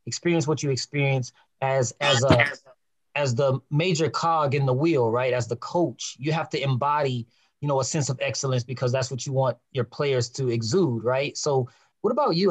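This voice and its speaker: A man hosting a podcast, asking guests questions in a warm, filler-heavy casual style. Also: medium pitch, moderate pace, no room sound. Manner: encouraging, peppered with fillers. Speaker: podcast host.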